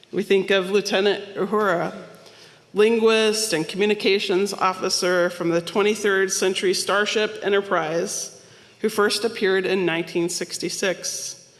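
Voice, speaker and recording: A woman minister giving a sermon, telling stories in a conversational way with small asides. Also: medium pitch. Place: church sanctuary. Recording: long hall reverb.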